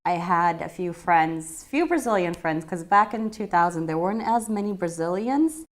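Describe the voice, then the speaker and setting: Young woman guest in her twenties, lowish voice, easy conversational storytelling. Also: expressive, moderate pace. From United States. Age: 20s. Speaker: guest, restaurant CEO. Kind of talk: casual chat.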